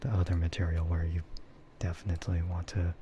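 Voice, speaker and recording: A man chatting casually in a soft, half-whispered ASMR style, rambling and hesitant as he streams close to the microphone. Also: low voice, flat delivery, a little fast, muffled recording, heavy background noise. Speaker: VTuber streamer.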